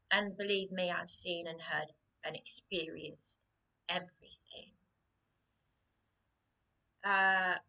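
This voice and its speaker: Hoarse, slow-talking woman near whispering. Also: fairly low pitch, bright, forceful tone, rough recording. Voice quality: hoarse, often dropping to a whisper. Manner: distressed, hesitant, halting with many restarts. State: losing her voice, coughing. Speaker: vlogger. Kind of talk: personal vlog monologue.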